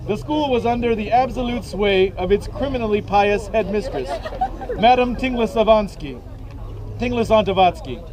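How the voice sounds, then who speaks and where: A man reading his own comic novel aloud with a dry, deadpan delivery, outdoors at an event. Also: high-pitched, poor audio. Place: outdoors at a medieval combat event.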